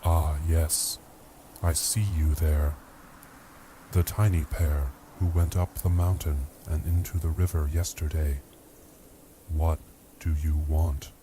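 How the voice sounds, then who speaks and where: A man voicing a mountain-sized ancient giant who speaks in a soft, hushed, solemn voice. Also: extremely low pitch, fairly even intonation, background noise. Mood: curious. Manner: slow, solemn, ponderous.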